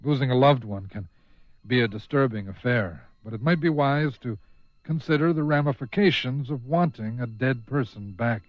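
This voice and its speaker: A man hosting and narrating a horror radio anthology in an ominous, measured, scripted style. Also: medium pitch, dry recording, dull audio. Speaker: radio drama host and narrator.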